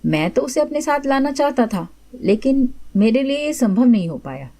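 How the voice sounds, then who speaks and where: A woman's voice: high-pitched, very animated, moderate pace, warm tone, pitched above the performer's own voice. A woman voicing a wily courtier in a folk tale, politely pleading with feigned innocence. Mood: deferential. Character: wily, deferential male Brahmin courtier.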